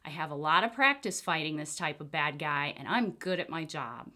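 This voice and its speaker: A woman's voice: deep voice, animated, moderate pace, bright, forceful tone, voice pushed down. A woman voicing a confident, reassuring female monkey doctor in a storybook, explaining things simply to a child. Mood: earnest, encouraging.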